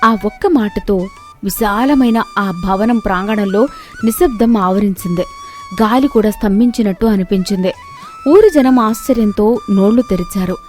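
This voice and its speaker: A woman's voice: medium pitch, expressive, moderate pace, darkish tone, faint music behind. A woman storyteller giving scripted narration of moral tales.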